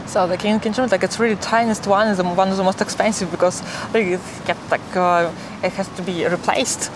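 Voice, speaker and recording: Young russian lady chatting away, giggly n animated, says like a lot, noisy street behind her. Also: mid-range voice, fairly quick. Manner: animated, giggly, uses filler "like" heavily. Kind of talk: casual conversational storytelling. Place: outdoors in a city. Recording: noisy city street with traffic.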